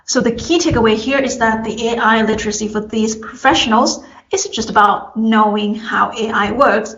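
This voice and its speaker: A woman from Thailand with a Thai accent gives a formal, prepared academic presentation, measured and addressing an audience step by step. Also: on the high side, steady tone, fairly bright tone. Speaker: conference presenter. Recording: roomy echo, slightly dull sound.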